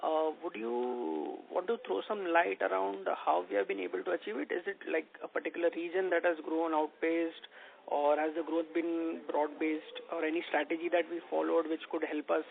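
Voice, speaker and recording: Higher-pitched man, creaky, on phone. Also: steady tone, normal speed. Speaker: financial analyst asking questions. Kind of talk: conference call questioning. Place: conference call. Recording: narrowband phone line.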